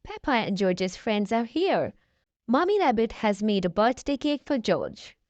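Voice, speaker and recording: A woman with a fairly high voice reads a children's story aloud. Her intonation swings up and down expressively, and she takes her time. The recording is dry and close. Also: sing-song, measured pace, a little mellow, dry recording, lo-fi sound. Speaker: storybook reader, channel host. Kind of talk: children's read-aloud narration.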